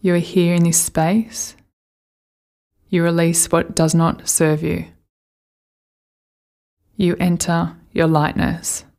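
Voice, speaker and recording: A woman leading a guided meditation, giving gentle, soothing instructions with unhurried pauses. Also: lowish voice, little pitch movement.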